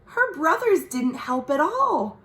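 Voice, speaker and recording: A woman's voice: high voice, slow. A woman librarian reading a picture book aloud for children at home, speaking expressively and talking to the kids directly. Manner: warm, expressive, addresses children. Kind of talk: virtual storytime read-aloud.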